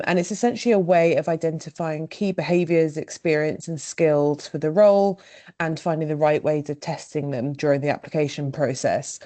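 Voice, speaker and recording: A woman senior lawyer explaining an application process in a relaxed way, with some fillers, during a webinar. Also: sits low. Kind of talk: presenting a recruitment webinar.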